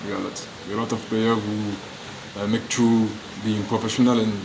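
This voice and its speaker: A man from France answering press questions in halting, searching English, restarting his sentences often. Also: on the low side, level tone, creaky, noisy background. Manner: halting non-native English, frequent restarts. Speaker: professional footballer. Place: media room.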